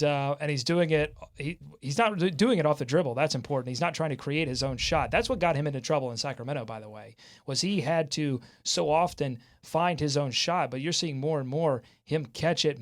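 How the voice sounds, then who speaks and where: A man hosting a sports podcast in a jokey, informal way, talking in a lively style. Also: mid-range voice. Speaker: podcast host.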